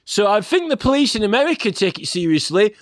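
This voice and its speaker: A man's voice: high voice, very animated, quick pace, no room sound, clean studio sound. A man giving a rambling, informal monologue, insistent and aggrieved, often tagging his points with a checking word. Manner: aggrieved, insistent, repeatedly tags points with "right?". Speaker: complainant campaigning about aviation safety.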